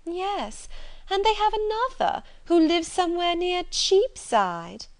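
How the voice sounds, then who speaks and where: A woman performs a haughty, gossipy young lady in a period audiobook. Her pitch sits high and leaps about with scorn. She leans hard on stressed words, drawing them out as if scandalised. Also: very animated, measured pace. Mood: mock-sweet disdain. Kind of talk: audiobook character dialogue from a period novel.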